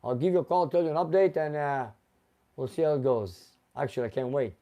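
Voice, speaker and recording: A man's voice: fairly high pitch, fairly quick, muffled recording. A man hosting a casual live car show, reading out viewer names and comments in a chatty way.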